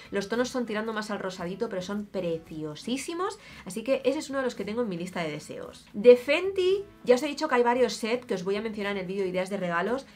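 A woman in her thirties with an accent from Spain, chatting casually and expressively to camera as an opinionated beauty vlogger. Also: medium pitch. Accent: Spanish from Spain. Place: small home room, indoors.

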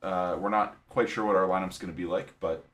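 A man co-hosting a book podcast, steering the talk in a steady, matter-of-fact way. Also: sits low, brisk, soft background music. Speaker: podcast co-host. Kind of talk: casual chat, wrapping up the episode.

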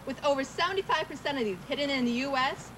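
A woman's voice: high voice, quick pace, noisy background. A woman narrating and hosting a television show in a scripted style.

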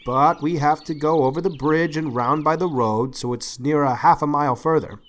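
An actor playing a shy, kindly older farmer in an audiobook, with halting, folksy speech. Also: male voice, fairly high pitch, a bit bright, natural voice. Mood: plain, hesitant.

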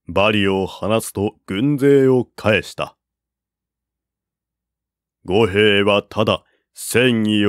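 A man reading a classical historical novel aloud as narrator, in a steady, deliberate manner on a close mic. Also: mid-range voice, no echo.

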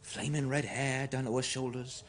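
An actor playing a haunted old country gamekeeper with a rural English accent in a 1970s radio play. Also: male voice, medium pitch, little pitch movement, normal speed, slight background noise, close to an everyday voice. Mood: haunted recollection. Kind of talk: 1970s British radio drama storytelling.

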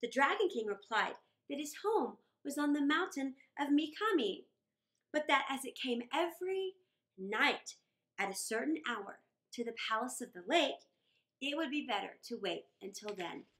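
A middle-aged woman reading a children's story aloud with deliberate, dramatic phrasing. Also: high voice, lively intonation, fairly bright tone. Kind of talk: expressive read-aloud storytelling. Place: small indoor room against a wall.

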